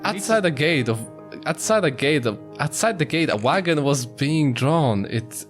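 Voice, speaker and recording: Guy streaming a visual novel readthrough, very expressive, trips on words, bit of music behind him. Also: fairly high pitch, normal speed. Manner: expressive, stumbles over words. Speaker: streamer, narrator. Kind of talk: reading visual novel narration aloud.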